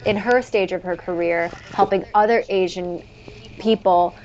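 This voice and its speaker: A woman's voice: on the low side, a little slow, darkish tone, lo-fi sound. A young adult woman talking earnestly in a casual conversation and filling her speech with "like". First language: English. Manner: earnest, frequent "like" fillers.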